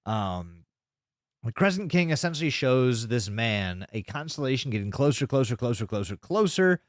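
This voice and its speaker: A man's voice: medium pitch, sing-song, normal speed, dry recording, studio quality. A man co-hosting a podcast, casually retelling a story in a rambling, sweary way. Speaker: podcast co-host.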